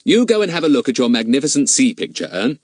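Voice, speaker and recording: Man playing a polite, cheeky schoolboy, animated. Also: mid-range voice, fast talker, no room sound, studio quality, pitched above the performer's own voice. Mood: confident. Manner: polite, mock-innocent, talkative. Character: clever, cheeky, politely confident schoolboy sleuth.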